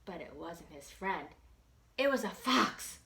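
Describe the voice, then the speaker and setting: A woman reading aloud as a children's library storyteller, expressive and animated, in simple child-directed language. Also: on the low side, slow pace. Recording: roomy echo with background noise.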